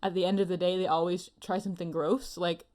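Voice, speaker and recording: A woman's voice: on the low side, level tone, fast talker, a little mellow. A woman co-hosting a podcast in casual chat, with a giggly, chatty manner. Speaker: podcast co-host.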